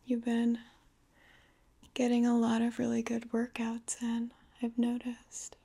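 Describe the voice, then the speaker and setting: A woman's voice: a little high, monotone, takes it slowly, gravelly, dark tone, slightly rough. A woman in her twenties doing an ASMR roleplay in a soothing, whispery close-up murmur. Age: 20s. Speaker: ASMR performer. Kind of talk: ASMR roleplay, soft slow intimate talk. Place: living room, close to the camera.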